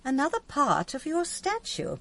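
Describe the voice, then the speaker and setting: Radio play matriarch: older English woman, haughty, brisk, swooping intonation, some ambient noise behind. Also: high voice, wildly swooping pitch, gravelly, fairly bright tone, heavily acted. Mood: brisk, unruffled. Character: imperious, unflappable older English mother of a colonel. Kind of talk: radio drama acting.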